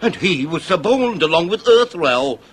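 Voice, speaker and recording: An actor playing a grave, implacable but just police inspector in a radio drama, with a British accent and formal, deliberate, theatrical speech. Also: male voice, sits high, big pitch swings, fairly bright tone, slight background noise, poor audio. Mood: explaining, probing.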